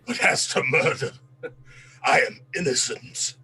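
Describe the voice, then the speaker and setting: An actor playing a disgraced English colonel in a radio play, a cornered, self-pitying traitor pleading and confessing in agitation. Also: male voice, medium pitch, animated, a little slow, sharp tone, a little hiss or hum. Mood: anguished confession.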